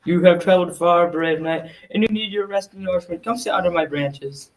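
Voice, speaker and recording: A woman's voice: lowish voice, brisk, recorded from a distance, natural voice, rough recording. A young adolescent girl playing a sly, coaxing old talking tree in a school radio drama. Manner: coaxing, persuasive.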